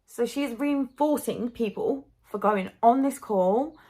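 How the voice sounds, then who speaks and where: A woman's voice: a little high, a little slow, dark tone. A woman vlogger with a stuffy, bunged-up nose gives frank, chatty commentary on clips, full of fillers. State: stuffy nose from hay fever. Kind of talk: casual commentary reacting to clips.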